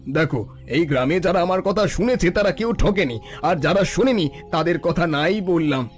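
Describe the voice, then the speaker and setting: A man's voice: on the high side, expressive, normal speed, faint music behind, close to an everyday voice. A man voicing a scheming, self-serving village shopkeeper in a Bengali cartoon story, pushy and persuasive. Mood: cajoling.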